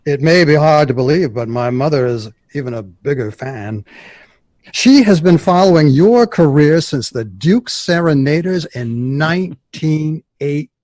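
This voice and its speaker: Eager old-time radio interviewer, dry close sound, very animated, deferential and polished. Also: male voice, on the high side, a little slow, no room sound. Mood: admiring. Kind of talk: 1960s radio interview acting.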